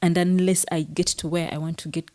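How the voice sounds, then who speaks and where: Ugandan female host, measured and reflective, dark mellow tone. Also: lowish voice, little pitch movement. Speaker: interview host. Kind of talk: conversational interview.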